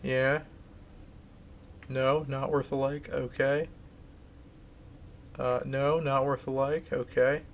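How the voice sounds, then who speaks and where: A man's voice: mid-range voice, level tone, takes it slowly, long pauses, slight background noise. A man streaming live, casually chatting and reading out viewer comments, rambling and restarting his sentences.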